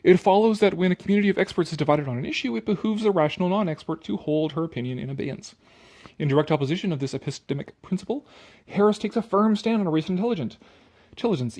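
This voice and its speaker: A man's voice: high-pitched, expressive, fast talker, dark tone. A man reading an article aloud with casual, sarcastic commentary, blunt and dismissive, prone to swearing. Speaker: online commentator.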